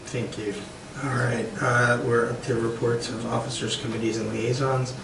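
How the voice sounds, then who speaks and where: A man chairing a school board meeting, moving briskly through agenda items. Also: medium pitch, level tone, vocal fry. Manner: brisk, informal with fillers. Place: meeting room. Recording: echoey room from far off, noisy.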